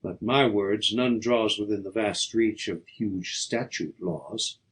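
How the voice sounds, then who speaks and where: A man reading poetry aloud as an audiobook, in a declamatory, measured and emphatic dramatic style. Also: on the low side, fairly bright tone, husky. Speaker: audiobook reader.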